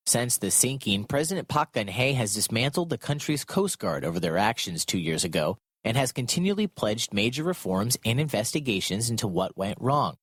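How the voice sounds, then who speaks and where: A man reporting and narrating a scripted radio news piece in a measured, formal read. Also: mid-range voice, sing-song, husky, dry recording.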